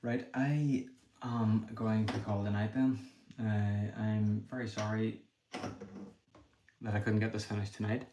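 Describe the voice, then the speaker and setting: A young man with a Northern Irish accent, thinking aloud quickly and apologetically as he chats with a livestream audience. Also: on the low side, flat delivery, dark tone. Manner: talks quickly. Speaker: livestreamer building a brick set. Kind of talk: casual livestream chat while building. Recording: echoey room with faint noise.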